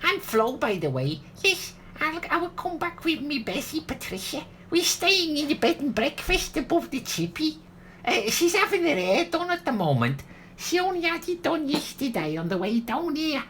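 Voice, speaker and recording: A woman's voice: sits high, big pitch swings, normal speed, a bit bright, slight background noise. A woman playing a blunt, gossipy Liverpudlian holidaymaker with a Scouse accent in a comedy radio play. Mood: friendly, chatty. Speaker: actor.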